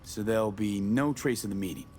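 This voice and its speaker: A man voices a streetwise cyberpunk mercenary in a video game. His voice sits on the low side, and he talks in curt, skeptical, casual phrases. A faint rumble of noise runs behind him. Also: brisk, warm tone, close to an everyday voice. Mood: wary. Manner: skeptical, clipped questioning.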